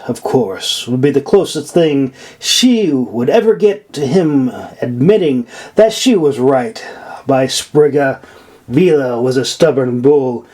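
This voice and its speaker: A man's voice: mid-range voice, warm tone. A man narrating a fantasy audiobook from a script, as the author of the story. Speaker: author reading own audiobook.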